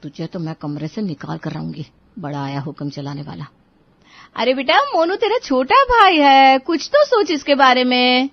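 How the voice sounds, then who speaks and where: A bossy cartoon grandmother, voiced by a woman, who coaxes and scolds her household. The voice sits fairly high on a dry, slightly dull recording. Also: sing-song, normal speed, no room sound, full character voice. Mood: scolding. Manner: coaxing and scolding by turns. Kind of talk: animated moral story dialogue.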